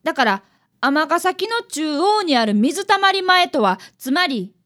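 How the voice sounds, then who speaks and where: A woman voicing a very high-pitched eager schoolboy. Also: very animated, a little slow, fairly bright tone, full character voice. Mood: proudly explaining. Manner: earnest, polite boyish speech. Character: eager, polite fifth-grade boy apprentice detective. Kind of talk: radio drama acting.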